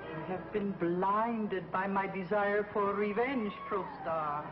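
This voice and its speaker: A woman playing a vengeful, power-hungry witch queen in a 1950s TV drama, slow and imperious. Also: medium pitch, natural voice. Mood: gloating. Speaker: villain. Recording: muffled old TV soundtrack with loud music.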